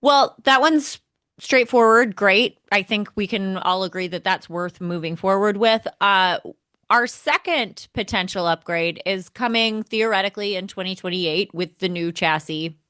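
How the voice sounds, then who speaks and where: A woman co-host leading a casual conversation, brisk and opinionated as she steers the talk along. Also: medium pitch, bright, forceful tone, smooth, dry recording, clean studio sound.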